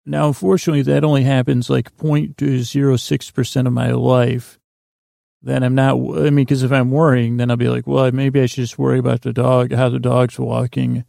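A man podcast host with heavy vocal fry and a close, dry sound. He rambles through a personal monologue in a halting way, doubling back on his sentences and voicing bits of imagined conversation. The tone of his voice is dark and relaxed. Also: mid-range voice, no room sound. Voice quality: gravelly, creaky. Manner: halting, meandering, constant false starts and self-interruptions; slips into imagined dialogue.

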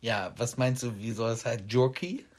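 A man co-hosting a podcast in casual chat, tossing in quick remarks and retelling his own anecdotes. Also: medium pitch, fairly even intonation, a little mellow, slight background noise. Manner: chatty, quick interjections, retells own quotes. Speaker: podcast co-host.

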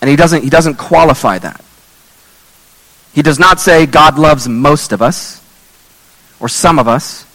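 A man preaching a sermon as pastor, earnest and measured, reading scripture aloud while his voice is going hoarse. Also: on the high side, animated, fairly bright tone, long reverb tail, rough recording. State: losing his voice.

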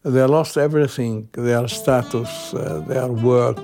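An older man from Iraq, speaking slowly and haltingly about his life in an interview. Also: mid-range voice, fairly even intonation, gravelly, mellow, faint music behind. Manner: slow, halting, plain-spoken. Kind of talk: reflective interview.

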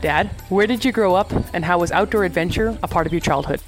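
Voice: medium pitch, quick pace, soft background music. A host introducing a guest and asking questions in an interview.

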